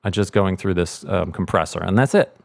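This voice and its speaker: A man's voice: low-pitched, fairly quick, creaky, no room sound, clean studio sound. A man explaining sound design in a casual, informal tutorial, thinking aloud as he goes.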